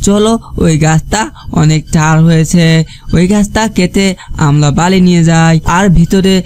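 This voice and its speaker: A man's voice: on the high side, fairly even intonation, normal speed, a little mellow, natural voice. A man acts a tired village woodcutter in a cartoon. He complains in a colloquial, uneasy way. Faint noise and clicks sit behind the voice. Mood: weary, wary. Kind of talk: cartoon character acting.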